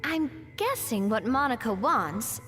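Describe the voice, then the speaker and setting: A woman's voice: on the high side, lively intonation, a little slow, fairly bright tone, big-room sound, soft background music. An actress voicing a composed, sympathetic young woman telling a story in a video game. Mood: measured, explanatory.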